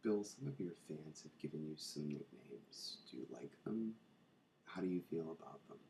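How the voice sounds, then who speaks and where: A man softly asking interview questions in a calm, gentle way. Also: very deep voice, moderate pace, vocal fry, slight background noise. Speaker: interviewer, ASMR channel host. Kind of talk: soft-spoken interview questions.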